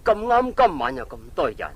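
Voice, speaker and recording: An actor with a Hong Kong accent playing a bumbling, greedy innkeeper who stammers, in a children's audio drama. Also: male voice, medium pitch. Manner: flustered, stammering, repeats filler words. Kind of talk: 1980s audio drama acting for children's storybook. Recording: noisy old 1980s cassette tape.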